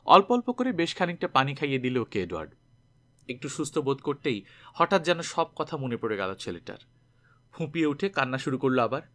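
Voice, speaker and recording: A man narrating a scripted story dramatically, with expressive delivery as an audiobook reader. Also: medium pitch.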